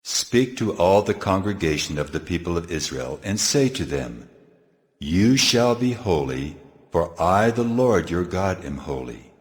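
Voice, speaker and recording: A man playing God in a dramatized scripture reading, solemn and deliberate as he declares laws. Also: sits low, lively intonation. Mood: solemn, commanding. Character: God, solemn commanding male deity giving laws. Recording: long cathedral-like reverb, music underneath.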